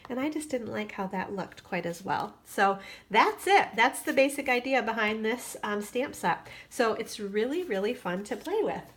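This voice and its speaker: A woman's voice: fairly high pitch, animated, normal speed, a bit bright. Stuffy, nasal woman demonstrating crafts. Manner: chatty, with fillers and asides. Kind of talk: casual tutorial.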